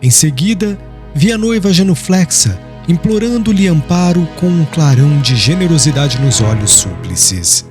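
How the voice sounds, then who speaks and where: A man with a Brazilian accent giving a solemn, measured audiobook narration of literary prose, with phrases falling at the end. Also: on the high side, animated. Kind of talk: scripted literary narration of a religious historical novel. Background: background music.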